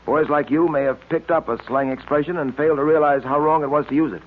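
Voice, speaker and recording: A man's voice: on the high side, fairly even intonation, quick pace, lightly acted. A man playing an upright, earnest police detective in old radio drama style, speaking in a firm, clipped, fatherly way. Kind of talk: 1940s radio acting. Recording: tinny noisy old radio recording.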